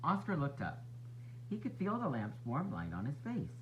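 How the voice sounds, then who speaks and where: A man narrating a children's storybook as a teacher would, expressive and instructive for young listeners. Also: fairly high pitch, normal speed, lo-fi sound, a little hiss or hum. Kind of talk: children's read-aloud narration.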